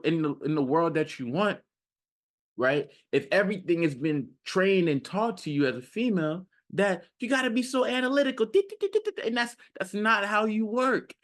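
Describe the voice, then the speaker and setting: A man's voice: high-pitched, a bit bright. A man speaking off the cuff like a self-improvement coach, casual and slangy, repeating phrases for emphasis and often checking in with the listener. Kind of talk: casual off-the-cuff motivational talk.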